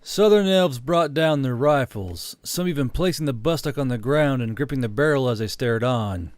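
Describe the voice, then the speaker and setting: Give me a man narrating a fiction audiobook, with a middle-pitched voice in a close, very dry recording. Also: moderate pace, no echo.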